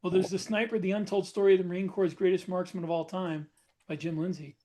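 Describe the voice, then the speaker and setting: A man with a notably high voice co-hosts a casual podcast. He jumps in with quick asides and checks the facts the others mention. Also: flat delivery, dark tone, slight background noise. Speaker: podcast co-host. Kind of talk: casual panel chat.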